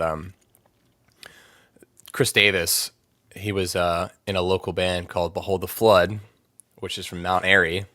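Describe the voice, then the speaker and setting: Deep-voiced man rambling casually. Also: bright, forceful tone, no room sound. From Maryland, USA. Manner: rambling, filler-heavy, laughs easily. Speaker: podcast guest, metal vocalist. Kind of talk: casual interview chat.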